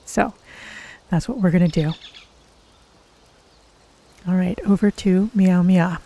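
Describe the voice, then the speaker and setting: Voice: mid-range voice, steady tone, slow, dark tone. A game streamer narrating a story recap casually to viewers in a thoughtful, hesitant manner.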